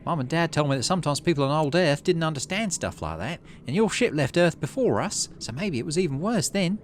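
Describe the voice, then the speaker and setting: A man voicing a bright, eager, friendly nonbinary child in a video game, gushing and earnest. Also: a little high, lively intonation, brisk, slight background noise, pitched above the performer's own voice, natural voice.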